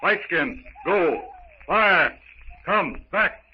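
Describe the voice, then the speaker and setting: An urgent jungle ape man acted by a male narrator barks halting single words, pitched above plain narration. The old radio recording is noisy and dull. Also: animated, full character voice.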